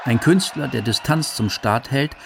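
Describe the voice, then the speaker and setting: A man's voice: medium pitch, normal speed, gravelly, noisy background. A man narrating a scripted radio documentary. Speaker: documentary narrator and quote reader.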